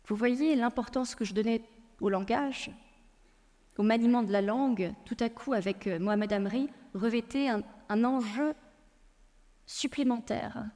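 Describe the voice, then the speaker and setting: A woman lawyer giving a prepared public talk, telling a personal story in a measured way with frequent hesitations. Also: a little high, a little mellow, long reverb tail. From France. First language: Persian. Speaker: lawyer and speaker.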